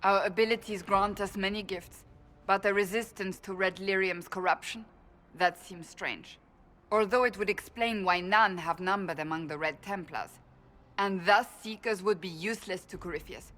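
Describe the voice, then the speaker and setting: A woman's voice: medium pitch, moderate pace, fairly bright tone, lightly acted. A woman playing a stern, righteous warrior in a video game, blunt, serious and formal in her delivery. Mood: grave, suspicious. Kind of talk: video game character dialogue.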